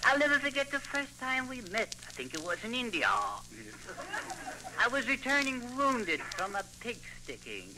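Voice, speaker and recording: A man's voice: very high-pitched, big pitch swings, normal speed, pitched above the performer's own voice. A man voicing a cheeky, boastful boy ventriloquist dummy in old radio comedy, cocky, sarcastic and full of mock grievance. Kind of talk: 1930s-40s radio comedy banter. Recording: hissy, crackly old radio recording.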